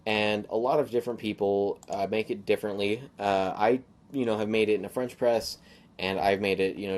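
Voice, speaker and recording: Slightly hoarse man rambling on a podcast. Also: on the low side, a little mellow. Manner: rambling, many fillers and restarts. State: slightly hoarse, sore throat. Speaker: podcast host. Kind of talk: casual solo podcast monologue.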